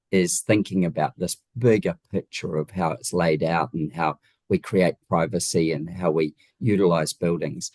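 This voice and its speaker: Deep-voiced New Zealand man, emphatic talker. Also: darkish tone, no room sound. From Wellington region, New Zealand. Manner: emphatic, illustrates points with rhetorical questions. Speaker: guest, home designer. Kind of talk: conversational interview answers.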